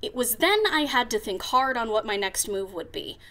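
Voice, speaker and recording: Woman playing a brash, animated pony radio DJ. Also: on the high side, normal speed. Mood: storytelling. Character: brash, sarcastic female pony radio DJ with big ego. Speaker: radio host. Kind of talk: comic audio drama with first-person narration.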